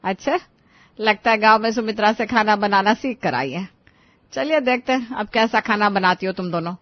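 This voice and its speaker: A woman's voice: medium pitch, moderate pace, no echo, lo-fi sound, natural voice. A woman voicing a fussy, critical older in-law who complains in a family story. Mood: sarcastic.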